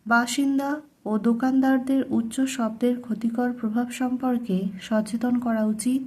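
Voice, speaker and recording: A woman tutor reading a model letter aloud as a lesson, in deliberate word-by-word, dictation-style phrasing. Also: on the high side, flat delivery, dark tone. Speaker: English tutor.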